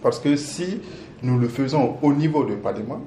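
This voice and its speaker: A man with a Burkinabe accent gives a spontaneous interview explanation. His voice is soft-edged and mellow at a middling pitch, and he often pauses to search for words. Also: a little hiss or hum. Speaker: project representative being interviewed.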